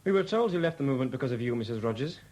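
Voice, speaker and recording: Actor as polite police sergeant, mid-pitched voice. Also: male voice, expressive, racing, darkish tone, natural voice. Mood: polite, probing. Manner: hesitant, courteous. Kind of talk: 1970s BBC radio drama acting. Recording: faint hum on old radio recording.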